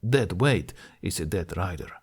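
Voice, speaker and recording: A blunt, commanding riding mentor in a fantasy audiobook, played by a man. He speaks in deliberate, clipped, formal phrases with total assurance, and his intonation moves in a lively way. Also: deep voice, breathy edge, pitched below the performer's own voice, exaggerated voice. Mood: firm, explaining. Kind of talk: audiobook character acting.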